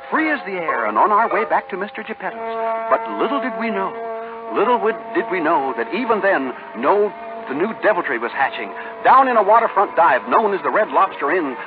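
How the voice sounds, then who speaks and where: An actor playing a folksy, cheerful little cricket who chats and tells the story in a 1930s radio drama. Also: male voice, high voice. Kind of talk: 1930s radio acting and narration. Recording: tinny 1930s radio, music behind.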